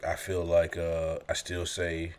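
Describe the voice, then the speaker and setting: A man's voice: sits low, monotone, slow pace, darkish tone. A man co-hosting a podcast in casual chat, stuffy from a summer cold, laid-back and slangy. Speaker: podcast co-host.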